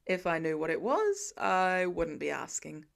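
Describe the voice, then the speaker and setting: A woman voicing a sarcastic grown man, a superhero dad, in a dry, flat, deadpan way for an audiobook story. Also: fairly low pitch, unhurried, vocal fry, pitched below the performer's own voice, full character voice.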